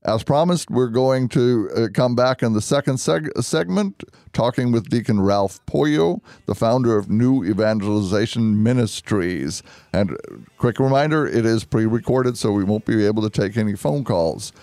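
A man's voice: mid-range voice, normal speed, hardly any pauses, warm tone, dry recording. Man hosting religious radio, close dry sound. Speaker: radio show host, deacon.

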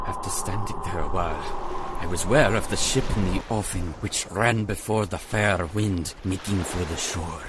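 A man narrating an audio drama in archaic English, with a theatrical, declamatory delivery. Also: deep voice.

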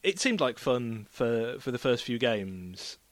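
A man guesting on a podcast with a stuffy nose from a cold, giving measured, reflective opinions in casual chat. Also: mid-range voice, big pitch swings, no room sound.

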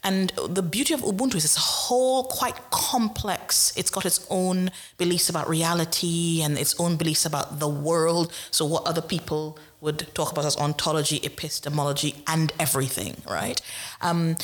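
A woman from Trinidad, a guest on an academic panel, talking reflectively and often tagging her points with "right?". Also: low voice. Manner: reflective, tags statements with "right?". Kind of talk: panel discussion.

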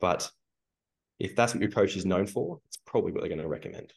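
Aussie guy on a podcast, really low voice, dry jokey opinions. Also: sing-song, fast, vocal fry. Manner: wry and opinionated, joking asides. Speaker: podcast co-host. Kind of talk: casual podcast chat.

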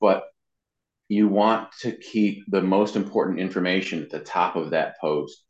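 A man's voice: low-pitched, a little slow. A man giving informal, explanatory advice as an interview guest, checking in with "you know" as he goes. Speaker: interview guest, marketing and web consultant. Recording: muffled lo-fi sound, roomy echo.

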